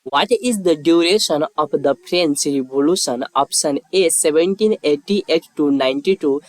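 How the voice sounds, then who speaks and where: A quiz narrator reading scripted questions and answer options aloud in a formal, measured way. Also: medium pitch, dry recording.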